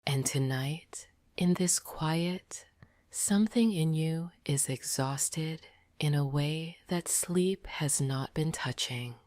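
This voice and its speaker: A woman narrating a scripted sleep meditation in a soft, breathy near-whisper, gentle and deliberate. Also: low-pitched, no echo, studio quality.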